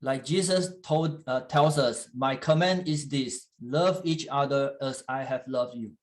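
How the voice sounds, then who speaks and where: A man preaching in English, which is not his first language, pausing often with fillers and restarts while an interpreter translates. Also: medium pitch. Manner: halting, with frequent fillers and restarts. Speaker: guest church leader. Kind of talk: sermon in English with live interpretation.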